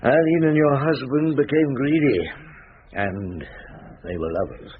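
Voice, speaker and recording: An actor playing a shrewd, world-weary chief inspector in a radio drama, speaking in a measured, brusque, authoritative way. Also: male voice, mid-range voice, big pitch swings. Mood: sombre, firm. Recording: muffled, phone-like radio sound, faint noise.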